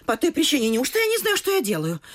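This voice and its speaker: An actress playing a bustling, excitable middle-aged provincial wife and mother, gushing and bossy in theatrical radio vaudeville style. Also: high-pitched, fast. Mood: flustered, elated.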